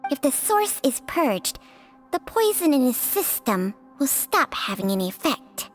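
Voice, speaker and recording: A man voicing a calm, ancient, scholarly sage who speaks in a measured, formal way. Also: very high-pitched, soft background music, exaggerated voice. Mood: explaining. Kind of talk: video game cutscene voice acting.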